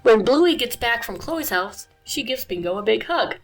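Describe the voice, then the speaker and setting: A woman reading a children's picture book aloud, animated and expressive, her voice a little tired. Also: a little high, moderate pace, faint music behind. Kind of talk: read-aloud narration.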